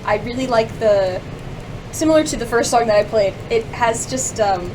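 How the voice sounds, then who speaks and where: A young woman chatting casually as a radio guest, in a halting, rambling way with lots of "like". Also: fairly high pitch, far from the mic, noisy background. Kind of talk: casual chat about music.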